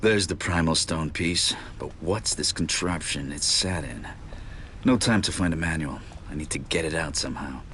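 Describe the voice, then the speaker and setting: An actor playing a grim male thief in a video game, muttering terse, calm remarks to himself. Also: very deep voice, moderate pace, a little hiss or hum. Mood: wary.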